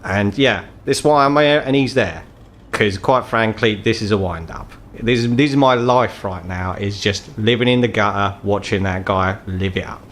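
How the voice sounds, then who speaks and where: A man's voice: on the low side, normal speed, fairly bright tone, a little hiss or hum, voice pushed down, lightly acted. Tabletop game troll fixer, acted by a man: male, ageing, British accent, blunt, bitter, world-weary, swears freely. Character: embittered ageing crippled troll, gruff underworld fixer, male.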